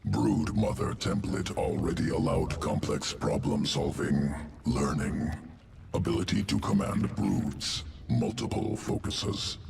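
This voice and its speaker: A gravelly alien creature from a sci-fi game. The voice is extremely deep and slow, swinging in pitch as it delivers clipped, clinical phrases. It sits in a roomy space. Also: male voice, a little mellow, distant mic, slight background noise, full character voice. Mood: clinical, detached. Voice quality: gravelly, guttural. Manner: clipped telegraphic phrasing without articles, detached. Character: alien evolution-master creature, clinical, coldly calculating. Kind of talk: scripted sci-fi game voice acting.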